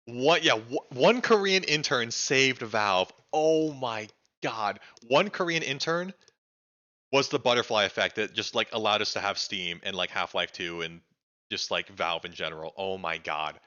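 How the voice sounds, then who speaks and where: Excitable young man, bright lo-fi stream voice. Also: fairly low pitch, unhurried. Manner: excitable, swears freely, fills speech with "like". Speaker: streamer reacting to a documentary. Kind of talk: casual live-stream reaction chat.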